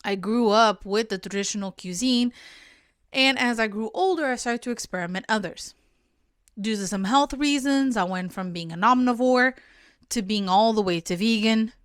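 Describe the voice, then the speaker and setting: A woman's voice: a little high, normal speed, clean tone, no room sound, clean studio sound. A young woman from Portugal hosting a solo podcast, giving friendly, instructive advice and sometimes correcting herself mid-sentence. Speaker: podcast host.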